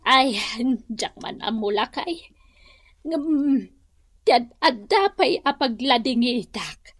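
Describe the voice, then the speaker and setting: A long-suffering middle-aged mother with a Filipino accent in a radio drama. She speaks slowly in a high, plaintive voice, hesitant and hurt, with a dry studio sound. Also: lively intonation, a bit bright, dry recording, heavily acted. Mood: sad, plaintive. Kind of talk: Ilocano radio drama acting.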